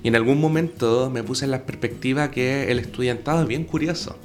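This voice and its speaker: A man's voice: mid-range voice, a little fast, faint music behind. A man with a Chilean accent giving earnest, explanatory answers as an interview guest. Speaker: guest, secondary school science teacher.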